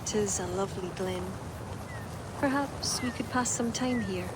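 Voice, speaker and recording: An actress playing an earnest former druid noblewoman who speaks in a soft, confiding, measured way. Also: medium pitch, close to an everyday voice. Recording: game cutscene with clear background noise.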